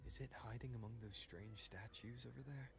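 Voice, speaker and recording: A man playing a kind, focused young hero in a video game, with an earnest, measured delivery. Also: fairly low pitch, creaky, noisy background. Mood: focused, puzzled. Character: young male hero, gentle, focused, kind to his companion. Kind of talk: video game character acting.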